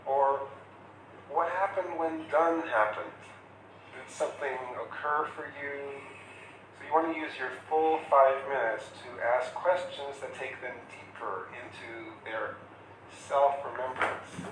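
A man's voice: medium pitch, slow, fairly bright tone, echoey room, distant mic, a little hiss or hum, low-quality recording. A man teaching a class on counseling, talking casually and acting out short example questions. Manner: conversational, acts out client questions. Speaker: instructor. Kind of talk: classroom lecture.

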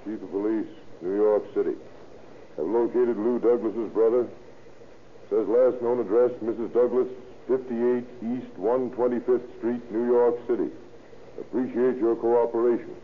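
A man's voice: medium pitch, steady tone, unhurried, pauses often, natural voice. An actor playing a formal, terse Western lawman in an old radio crime drama. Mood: official. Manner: terse, official. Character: low-voiced, formal male Western lawman. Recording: tinny, noisy old radio recording.

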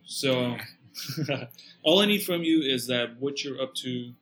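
A man's voice: mid-range voice, fairly bright tone. Man host nudging a guest along. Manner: short prompting questions and quick backchannels. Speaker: podcast host. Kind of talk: casual interview chat.

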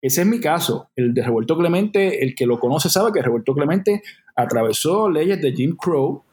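A Puerto Rican man in his forties talks in an earnest, reflective tone as an interview guest. His voice sits a little high for a man, and he often restarts his thoughts as he speaks. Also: expressive, normal speed, darkish tone. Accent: Puerto Rican Spanish. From Puerto Rico. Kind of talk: conversational interview.

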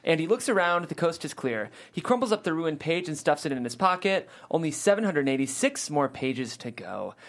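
Animated script narrator, widely swinging pitch. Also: moderate pace, hardly any pauses. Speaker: screenplay narrator. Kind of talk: reading script stage directions aloud.

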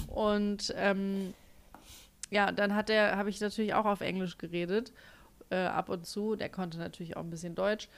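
Woman, casual chat, outspoken, expressive, fillers. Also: medium pitch, measured pace, vocal fry, long pauses.

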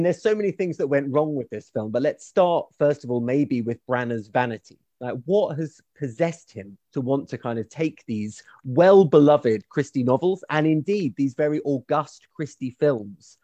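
A man's voice: on the high side, animated, normal speed, mellow, no room sound. A middle-aged man co-hosting a casual critical discussion in an erudite, opinionated way. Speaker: podcast co-host, historian.

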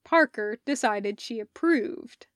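A woman reading scripted fiction as a narrator, measured and expressive in her storytelling. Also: on the high side, dry recording, studio quality. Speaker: podfic narrator.

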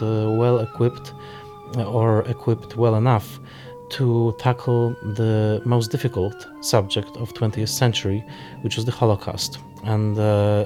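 Man explaining, music behind. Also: medium pitch. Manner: hesitant, searching for words, emphatic repetition. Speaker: film historian and critic interviewed as expert. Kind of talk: unscripted expert interview.

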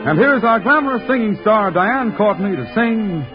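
An actor playing a cheerful announcer and comic sidekick in 1940s radio style. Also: male voice, sits high, expressive, normal speed, loud music behind the voice. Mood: selling, emphatic. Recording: muffled 1940s radio recording.

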